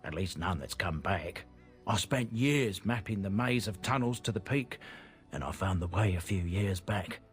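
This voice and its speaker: A man's voice: sits low, normal speed, close to an everyday voice. Folksy, earnest fantasy merchant, played by a man, music behind. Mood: earnest, proud. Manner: folksy, chatty, inverted phrasing. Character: male merchant of a proud disgraced family, earnest, chatty. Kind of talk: fantasy video game dialogue. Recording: game audio with clear music behind. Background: game music.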